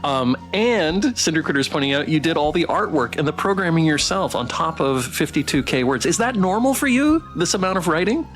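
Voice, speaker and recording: A man's voice: on the high side, expressive, fairly bright tone. Guy hosting a chill q&a stream, gushing, stumbles over words, music under him. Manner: effusive, halting with repeated words. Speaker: host of a visual novel playthrough stream. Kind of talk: casual developer Q&A, reading out live chat.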